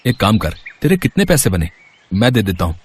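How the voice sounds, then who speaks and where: A man's voice: fairly low pitch, very animated, a little fast, husky. A man playing a humble, considerate young auto-rickshaw driver in an acted storybook dialogue. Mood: calm, helpful.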